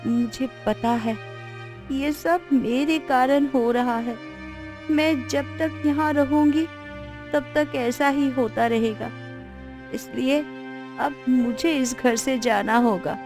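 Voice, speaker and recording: A woman voicing a sorrowful, self-blaming village woman in a cartoon moral tale, with slow, dramatic delivery. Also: high voice, over music. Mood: sad, resigned. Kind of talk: Hindi cartoon story voice acting.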